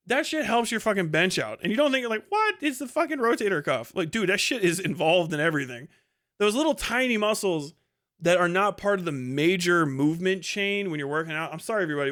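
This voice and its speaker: A man chatting casually on a livestream in an animated, enthusiastic, rambling way, swearing freely. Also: on the high side. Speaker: live streamer.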